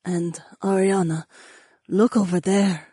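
A man voicing a dark-haired young wife, nervous and drily sarcastic. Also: high-pitched, level tone, takes it slowly, husky, dry recording, pitched above the performer's own voice, exaggerated voice. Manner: dry, sarcastic.

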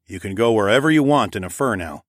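A man's voice: on the low side, lively intonation, fast, no room sound, studio quality, close to an everyday voice. A man voicing a confident, frank male dragon lord hero in an audiobook. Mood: friendly, assured. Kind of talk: audiobook character dialogue.

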